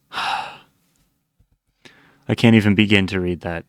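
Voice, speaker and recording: A man giving casual reaction commentary as a channel host, thinking aloud in a halting way. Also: low voice, fairly even intonation, smooth, dry recording, clean studio sound.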